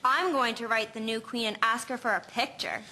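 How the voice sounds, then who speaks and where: Casting: woman as dreamy, admiring schoolgirl, high bright voice, period audio play, light rumble behind. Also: fairly even intonation, moderate pace. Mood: admiring, eager. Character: starry-eyed 1950s schoolgirl who adores royalty. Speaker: actor in an amateur history drama. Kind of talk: scripted amateur audio drama.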